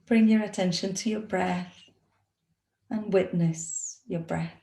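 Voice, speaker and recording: A woman leading a guided meditation as a yoga nidra teacher, speaking in a soothing, instructional manner with long pauses. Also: mid-range voice, fairly even intonation, creaky, mellow. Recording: roomy, echoey sound.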